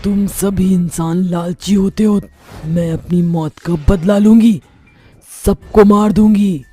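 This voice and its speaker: Lady doing a bitter vengeful witch ghost for a horror cartoon, husky and dark, menacing delivery. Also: mid-range voice, fairly even intonation, takes it slowly, faint music behind. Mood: menacing, gloating. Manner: menacing, declaiming. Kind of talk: Hindi horror cartoon voice acting.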